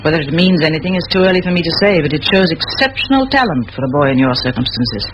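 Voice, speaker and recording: An actress playing a middle-aged, exacting spinster schoolmistress with a crisp, commanding, dryly ironic manner in a vintage radio play. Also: female voice, sits low, lively intonation, quick pace. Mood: probing, firm. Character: brisk, exacting spinster schoolmistress, dry-witted and forthright. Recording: muffled old radio recording, loud hiss.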